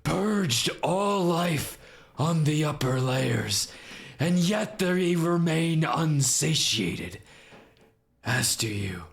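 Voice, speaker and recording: Proud, vengeful angel warrior for a game: very slow, grandiose delivery, gravelly edge, light reverb. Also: male voice, fairly high pitch, animated, voice pushed up, exaggerated voice. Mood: brooding hatred. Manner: grandiose, archaic, slow dramatic declamation. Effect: added reverb and delay.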